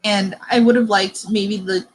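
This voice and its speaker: A woman's voice: mid-range voice, fairly even intonation, measured pace. A woman in her early twenties hosting a casual book discussion.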